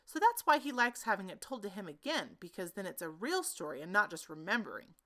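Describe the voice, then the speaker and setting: A woman's voice: medium pitch, big pitch swings, fairly quick, pushed and bright. A woman voicing a small, innocent boy in a storybook, curious, caring and eager with his questions.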